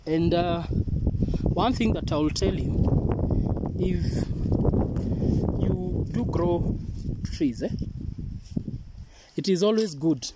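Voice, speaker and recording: A Kenyan man, a native Swahili speaker, talks a listener through a farm task outdoors, pausing often and drifting from the mic as he works with his hands. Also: high voice, heavy background noise. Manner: unhurried, hesitant with many pauses. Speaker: avocado farmer. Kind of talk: hands-on farming demonstration.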